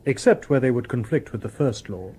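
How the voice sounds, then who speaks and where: An actor playing a calm, courteous humanoid robot detective in a radio drama, with formal, precise phrasing. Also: male voice, medium pitch, a little fast, husky.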